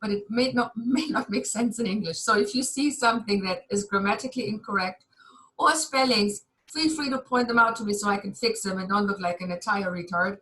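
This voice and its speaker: A woman's voice: medium pitch, fairly quick, a bit bright, low-quality recording. A German woman lecturing a university class over a video call, chatty and candid, with some self-deprecating humor.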